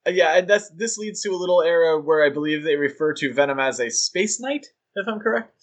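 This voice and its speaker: Chatty, joking man with a higher voice. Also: animated, brisk. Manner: chatty, joking, with frequent fillers. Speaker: podcast co-host. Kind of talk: casual podcast discussion.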